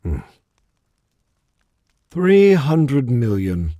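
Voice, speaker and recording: An actor playing a calm, shrewd noble strategist in a video game, speaking in a measured, wry, self-assured manner. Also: male voice, mid-range voice, no echo, studio quality.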